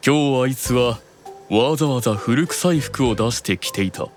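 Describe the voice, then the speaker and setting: An actor playing a proud, keenly observant demon eldest brother in an anime audio drama, explaining things with deliberate authority. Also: male voice, medium pitch, faint music behind, close to an everyday voice. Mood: coolly observant.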